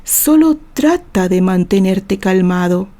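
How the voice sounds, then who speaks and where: A Mexican woman voicing a protective older uncle, hushed and steadying, in an audio novel. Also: medium pitch, expressive, slow, voice pushed down. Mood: calming, urgent. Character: protective older uncle calming his hot-headed nephew.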